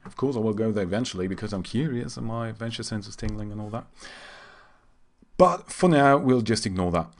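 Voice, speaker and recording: A man from Germany, an English speaker, giving casual and somewhat halting gaming commentary. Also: lowish voice, animated. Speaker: game streamer. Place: indoors at a computer.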